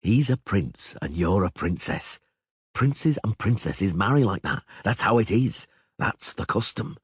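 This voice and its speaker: A male narrator voicing a weary grown monster hunter who speaks in a curt, dry, gruffly sarcastic way. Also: on the low side, big pitch swings, measured pace, dry recording, exaggerated voice. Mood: flat. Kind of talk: audiobook character voice.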